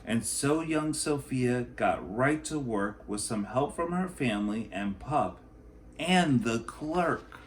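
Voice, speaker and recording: Slow, very animated man reading aloud. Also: medium pitch, a little hiss or hum. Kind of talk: expressive picture book read-aloud for children.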